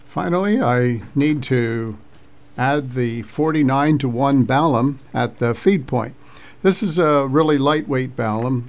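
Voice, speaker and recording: A man narrating an informal hobby video recap with hesitant, halting phrasing. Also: medium pitch.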